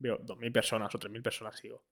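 A man co-hosting a casual podcast who talks quickly with a bright, forceful tone. He tells stories in an animated way and acts out whatever he quotes. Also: lowish voice, gravelly. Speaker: podcast co-host.

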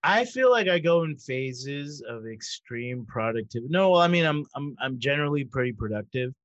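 A man's voice: mid-range voice, lively intonation, normal speed, a bit bright, dry recording, lo-fi sound. A man in his thirties in casual chat, rambling and correcting himself as he goes, laughing easily. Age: 30s.

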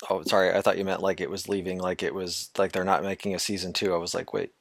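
A man co-hosting a casual podcast chat, easygoing but quick to protest. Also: deep voice, flat delivery, vocal fry, no echo. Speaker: podcast co-host.

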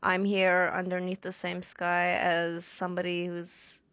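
A woman from Iran, a native Farsi speaker, talking reflectively and pausing to search for her words. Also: fairly low pitch, completely flat delivery, a bit bright, no room sound, lo-fi sound. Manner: thoughtful, searching for words, many restarts. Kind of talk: reflective personal monologue.

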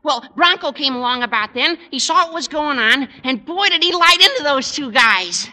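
An enthusiastic, cocky teenage boy in an old radio comedy. The voice sits very high and squawky, with a forceful brightness. He gushes in emphatic slang, heard in the long ring of a hall. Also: animated, moderate pace, heavily acted. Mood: gushing. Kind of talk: 1950s radio sitcom acting.

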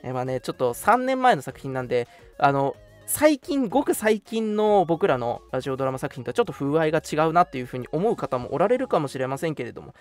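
A man's voice: a little high, big pitch swings, fast talker, few pauses. A man hosting a casual radio show, chatting politely and making announcements over background music.

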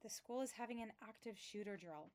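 Woman as an expressive, high-voiced young girl. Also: fairly quick, slight background noise, lightly acted. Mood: concerned. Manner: expressive, emphatic. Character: excitable young girl writing diary-like letters. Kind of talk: audio play acting.

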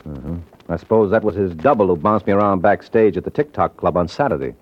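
Wisecracking low-voiced radio detective. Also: male voice, fairly quick. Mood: exasperated. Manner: sardonic, slangy. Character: wisecracking hard-boiled male private detective, cocky and flirtatious. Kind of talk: old-time radio detective acting. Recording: dull vintage radio recording with music.

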